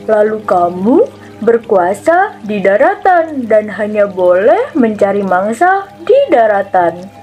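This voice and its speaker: A woman's voice: medium pitch, very animated, slow pace, darkish tone, soft background music. A woman voicing a quarrelsome, sly shark in a children's fable. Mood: proposing. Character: quarrelsome, sly shark claiming rule over water.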